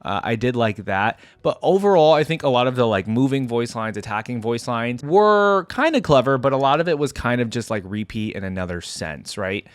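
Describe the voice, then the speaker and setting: A man's voice: medium pitch, expressive, moderate pace, few pauses, no room sound. A man hosting a reaction video, giving casual off-the-cuff game commentary in a rambling, conversational way that often checks for agreement. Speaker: YouTube reaction host.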